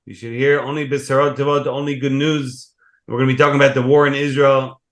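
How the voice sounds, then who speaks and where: A man's voice: medium pitch, little pitch movement, quick pace, a bit bright. A man hosts a religious talk program. He opens with formal welcomes and blessings, then puts questions to his guest. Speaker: program host. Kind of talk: interview host.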